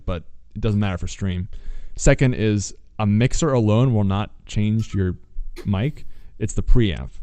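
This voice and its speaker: A man with a low voice hosting a podcast and giving listeners practical advice. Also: warm tone, dry recording. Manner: advising, moderating questions. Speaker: podcast host. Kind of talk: casual podcast chat.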